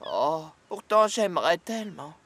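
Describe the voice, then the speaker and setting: An eager young sailboat from a French cartoon, full of wide-eyed wonder. The delivery is very melodic and takes its time, over noticeable background noise. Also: male voice, high voice, big pitch swings, unhurried, heavily acted. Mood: dreamy, eager. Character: eager, dreamy young male talking sailboat.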